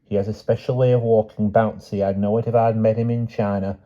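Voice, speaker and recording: A man voicing a frail, timid old aunt in an audiobook story, with a quavering, hushed voice and a mild, simple manner. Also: fairly low pitch, level tone, fairly quick, pitched above the performer's own voice, natural voice. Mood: mild, earnest. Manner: mild, simple, endearing old-fashioned address. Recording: dull, muffled audio.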